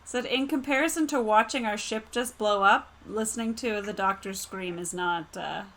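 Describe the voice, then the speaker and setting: A woman's voice: mid-range voice, moderate pace, fairly bright tone, a little hiss or hum. A woman playing a tabletop roleplaying game, chatting casually in a playful, giggly manner.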